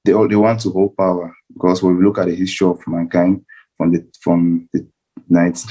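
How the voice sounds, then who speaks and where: A man's voice: deep voice, mellow, poor audio. A man on a discussion panel explaining earnestly, often repeating phrases as he builds his points. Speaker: panel speaker.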